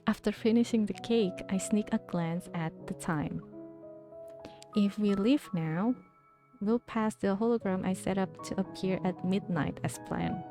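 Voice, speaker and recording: A woman's voice: mid-range voice, measured pace, over music. A woman streamer who reads a romance game's narration aloud and chats casually with viewers between passages. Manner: casual, thinking aloud with fillers. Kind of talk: reading game text aloud and chatting with viewers.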